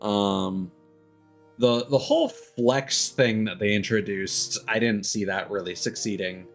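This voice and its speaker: A man's voice: lowish voice, steady tone, measured pace, soft background music. A man doing casual, rambling livestream chat as a game streamer, with chuckles here and there.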